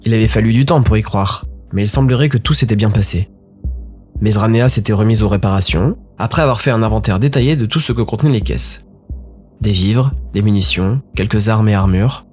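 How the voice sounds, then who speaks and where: A male narrator with a medium-pitched voice tells a scripted tale with brooding suspense. His phrases are short and dramatic, and music plays behind him. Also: a little mellow.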